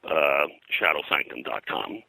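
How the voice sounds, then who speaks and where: An older man speaking as an interview guest, reminiscing in a halting, digressive way and often clearing his throat. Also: very deep voice, animated, pushed and bright, no room sound, poor audio. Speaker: guest, pulp and comics historian. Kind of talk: interview conversation, reminiscing about his work.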